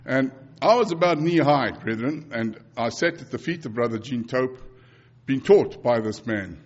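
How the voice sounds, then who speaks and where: A South African man in his fifties, Afrikaans his first tongue, preaches with earnest repetition and the odd restart. His voice sits at a middling pitch for a man. The recording is dull and slightly lo-fi. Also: lively intonation, normal speed. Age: 50s. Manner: earnest, repeats phrases, frequent restarts. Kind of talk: sermon and mission report.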